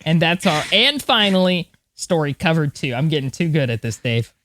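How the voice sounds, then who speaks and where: A woman's voice: deep voice, animated, moderate pace, fairly bright tone, no echo. A woman co-hosting a podcast, talking casually in a chatty, enthusiastic way. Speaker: podcast co-host. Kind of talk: casual space news podcast chat.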